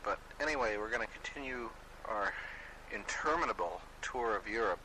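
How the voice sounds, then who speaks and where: Guy with a lowish voice chatting loosely as a radio show host, noisy amateur recording. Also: expressive, normal speed. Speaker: host of a shortwave radio primer. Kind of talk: casual rambling presentation.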